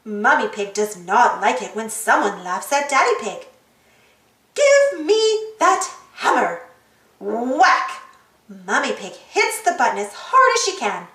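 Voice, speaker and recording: A woman reading a children's storybook aloud as an expressive narrator and host. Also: fairly high pitch, a little slow, roomy sound, recorded from a distance. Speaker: storybook reader, channel host.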